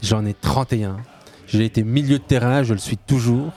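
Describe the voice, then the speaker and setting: A man's voice: medium pitch, normal speed, dark tone. A man hosting a radio football talk show, chatting casually and playing with words in his intro. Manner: playful, wordplay-heavy intro patter. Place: radio studio.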